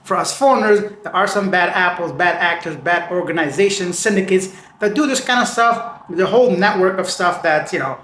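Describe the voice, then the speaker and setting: Man, high voice, slightly raspy, casual news host, slangy asides, echoey room. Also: a little fast, hardly any pauses, a bit bright, slight background noise. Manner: chatty, slangy asides between news items.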